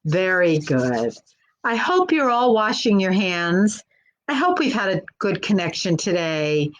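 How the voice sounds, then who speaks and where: Slow-paced woman leading children's storytime. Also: lowish voice, sing-song, dull audio. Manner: simple, encouraging, addresses children with questions. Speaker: children's librarian hosting storytime. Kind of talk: live children's storytime, reading and simple activities.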